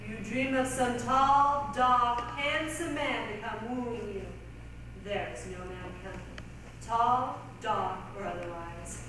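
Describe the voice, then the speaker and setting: Slow, high-voiced female fortune teller on stage. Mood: bleak. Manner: theatrical, deliberate. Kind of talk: readers' theatre stage recitation. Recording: echoey stage, distant mic, clear noise.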